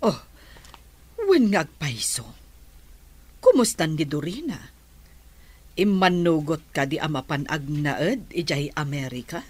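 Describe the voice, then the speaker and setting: An actress playing a sensible middle-aged friend who gives measured advice in a radio drama. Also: female voice, low voice, big pitch swings, husky, no room sound, exaggerated voice. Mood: friendly, concerned.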